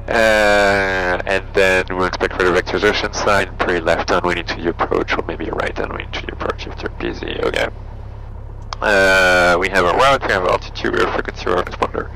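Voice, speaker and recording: Low, gravelly man pilot in a cockpit. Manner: clipped, thinking aloud in short phrases. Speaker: private pilot briefing and flying a small plane. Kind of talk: procedural cockpit briefing and checklist talk. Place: small airplane cockpit. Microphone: aviation headset. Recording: engine and cockpit noise behind voice.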